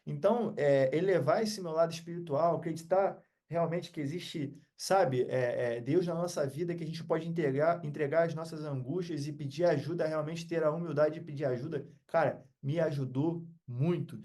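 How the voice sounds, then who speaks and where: A man's voice: fairly high pitch, normal speed, hardly any pauses, warm tone. A man with a Brazilian accent talking casually as a podcast guest, reflective, earnest and motivational in manner.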